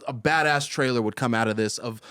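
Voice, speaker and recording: A man co-hosting a podcast, chatting in an excitable, rambling way. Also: mid-range voice, brisk, clean tone. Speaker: podcast co-host. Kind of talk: casual debate chat.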